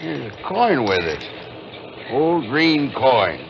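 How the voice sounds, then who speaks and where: An actor playing a pushy, shady tough guy with a gruff, colloquial American delivery in a 1940s radio drama. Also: mid-range voice, sing-song, slow, full character voice. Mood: sly, casual. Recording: noisy, muffled 1940s radio recording.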